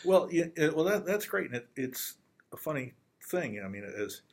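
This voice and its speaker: A man's voice: fairly high pitch, big pitch swings, moderate pace, a little hiss or hum. A man interviewing a student in an affable, encouraging way. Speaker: program interviewer. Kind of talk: friendly interview questions.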